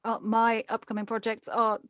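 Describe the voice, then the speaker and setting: Even-toned woman in casual conversation. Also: fairly high pitch, moderate pace, no echo, clean studio sound. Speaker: interview guest, voice actor and producer.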